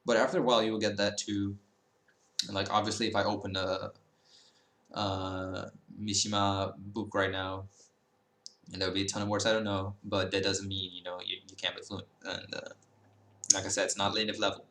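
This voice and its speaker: Low-voiced guy, early 20s, rambles and corrects himself a lot, roomy echo. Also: level tone, normal speed, a bit bright. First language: Dutch. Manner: rambling, many fillers and self-corrections. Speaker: language-learning YouTuber. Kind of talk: casual monologue to camera.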